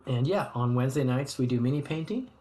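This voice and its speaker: A man's voice: mid-range voice, lively intonation, fast, darkish tone. An American man chatting casually with his live stream viewers from his home hobby room, rambling and friendly with many restarts. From United States. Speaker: streamer host.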